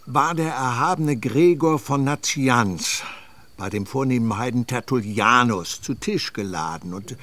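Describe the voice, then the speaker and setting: An actor playing an eccentric old apothecary who tells anecdotes slowly and with relish, in a radio play. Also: male voice, medium pitch. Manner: lively, slow storytelling, fond of anecdotes. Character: eccentric old male apothecary, bookish storyteller.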